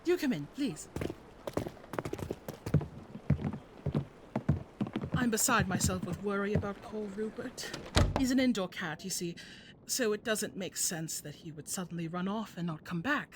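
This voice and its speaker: A woman's voice: mid-range voice, moderate pace, heavy background noise, natural voice. Woman playing a fussy, genteel cat-doting lady. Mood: polite, fretful. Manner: prim, polite, gushing. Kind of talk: scripted audio drama acting.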